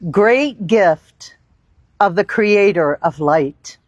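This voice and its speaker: Woman yoga teacher, unhurried and reverent, dry close sound, guiding breath and meditation. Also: mid-range voice, lively intonation, dry recording, highs cut off. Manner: slow, deliberate, reverent phrasing with long pauses. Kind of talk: guided meditation and yoga instruction. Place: outdoor park.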